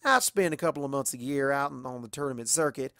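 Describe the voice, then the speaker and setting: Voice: mid-range voice, fast, fairly bright tone, no room sound, clean studio sound, lightly acted. A performer voicing a proud, hardworking female country pony in a storybook, with a folksy, plainspoken manner.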